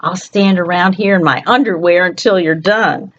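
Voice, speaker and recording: Woman reading as a blunt, excitable old man. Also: lowish voice, normal speed, muffled recording, close to an everyday voice. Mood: bossy.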